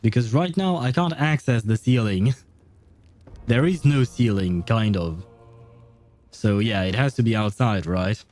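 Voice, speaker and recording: A man's voice: lowish voice, measured pace, long pauses, darkish tone. A young man casually commenting on his gameplay, chatty, laughing often and swearing. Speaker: game streamer.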